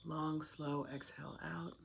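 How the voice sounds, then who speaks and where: A guided meditation leader speaks slowly and soothingly, leaving long pauses between phrases. The voice sits at a middle pitch. Clear background noise with chirping insects runs behind it. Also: flat delivery.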